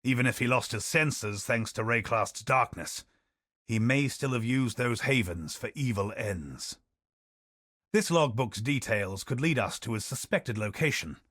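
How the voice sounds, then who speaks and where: An actor playing a solemn, learned male expedition captain in a video game, speaking in measured, thoughtful lines. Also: mid-range voice, animated, fairly bright tone, dry recording, studio quality. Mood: steady. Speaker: game character.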